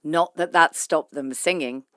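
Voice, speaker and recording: A woman presenting a radio arts programme, reading scripted narration in a measured, expressive way. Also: low voice, dry recording, studio quality.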